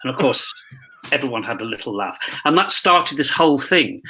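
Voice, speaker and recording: Bright-voiced man telling casual anecdotes. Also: medium pitch, very animated, moderate pace, rough recording. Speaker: pantomime dame performer, interview guest.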